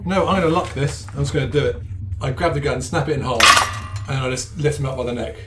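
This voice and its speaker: A man's voice: medium pitch, fast talker, heavy background noise. A man talking casually as a player in an improvised tabletop roleplaying game.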